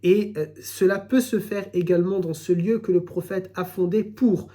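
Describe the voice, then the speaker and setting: A man giving a recorded religious lesson, explaining in a measured way and often repeating his phrases. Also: high-pitched, fairly even intonation, dark tone. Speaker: Islamic teacher.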